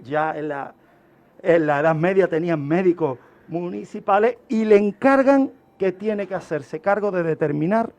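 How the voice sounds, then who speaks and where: A man's voice: high voice, darkish tone. A man speaking as a criminologist guest on a radio segment, explaining in an emphatic, didactic way with rhetorical questions. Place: radio studio.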